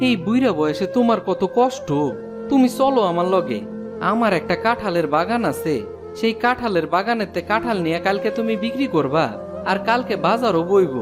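A man's voice: high-pitched, expressive, fairly quick. A man voicing a kind, helpful village woodcutter in a cartoon, earnest and folksy, with a rural Bangladeshi accent. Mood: kindly, insistent. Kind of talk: cartoon storytelling dub. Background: prominent music.

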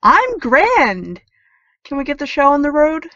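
A high, bright-voiced teenage girl acted by a woman is glib and snarky in an audio drama. Her pitch swings about as she dodges and quips. The sound is slightly muffled. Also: wildly swooping pitch, unhurried, no echo, full character voice. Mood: flippant. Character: flippant, evasive, snarky teenage tech girl.

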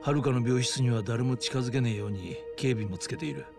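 A male actor playing a seasoned older detective in a video game, gruff, informal and pragmatic, with a gravelly voice. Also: medium pitch, fairly quick, over music, natural voice. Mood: serious. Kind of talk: dramatic video game acting.

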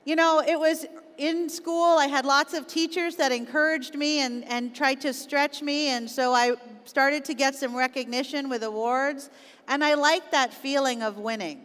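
A middle-aged woman with a Canadian accent giving a motivational keynote, speaking deliberately and stressing key words. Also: high-pitched, steady tone, fairly bright tone. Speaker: conference keynote speaker, business executive. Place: on stage in a large auditorium. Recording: echoey large hall, long reverb.

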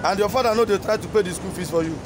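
A high-pitched man with a Nigerian accent conducts an informal interview, echoing answers back as questions. It is a phone recording made outdoors, with clear street and vehicle noise behind him. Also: very fast. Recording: noisy outdoor phone video.

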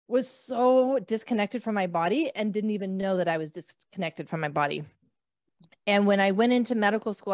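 A woman's voice: medium pitch, a little mellow, dry recording, studio quality. A woman in her thirties from the northern United States, a physician guest telling her story with many fillers over a video call. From northern United States, near the Canadian border. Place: small room at home. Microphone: podcast mic on a video call.

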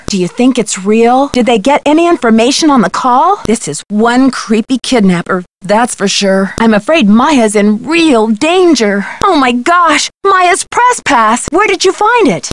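A woman's voice: fairly high pitch, sing-song, measured pace, few pauses, no echo. A woman playing a plucky, determined young amateur detective in a video game, earnest and inquisitive. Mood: worried. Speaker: voice actress in a detective adventure game. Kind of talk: scripted video game dialogue.

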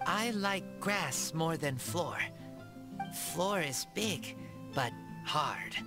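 A woman playing a shy, gentle, loyal feral boy raised by wolves in a video game, speaking in halting broken sentences. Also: low voice, lively intonation, exaggerated voice. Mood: simple, earnest. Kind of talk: video game character dialogue. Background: game background music.

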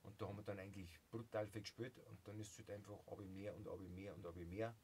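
A man's voice: on the low side, flat delivery, quick pace, a little mellow, a little hiss or hum. A man around fifty with a Bavarian accent, a musician chatting jovially in an interview in a small home studio, full of fillers and restarts. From Bavaria, Germany. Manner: jovial, laughs often, many fillers and restarts. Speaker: musician guest. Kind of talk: casual interview chat.

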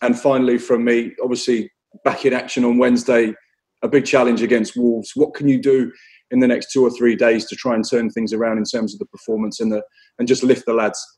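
A man's voice: medium pitch, flat delivery, brisk, a little mellow. A male reporter asking a football manager direct, probing questions at a press conference.

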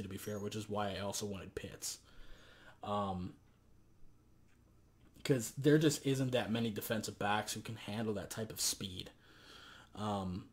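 A hoarse young man giving casual football commentary into a webcam in a small bedroom, talking in a loose, rambling way with many fillers. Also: on the low side. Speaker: sports vlogger.

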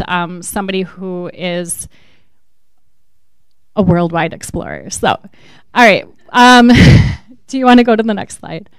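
A woman's voice: medium pitch, clear, resonant tone, no room sound. A woman presenting school updates at a board meeting and handing off to colleagues, upbeat with ums. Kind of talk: presentation hand-off.

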